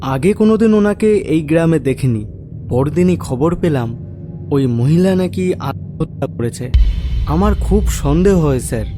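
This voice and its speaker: A man playing a deferential villager in an animated horror story, confiding a dark secret in an earnest, respectful way. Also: fairly high pitch, unhurried. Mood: uneasy, suspicious. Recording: loud music over the voice.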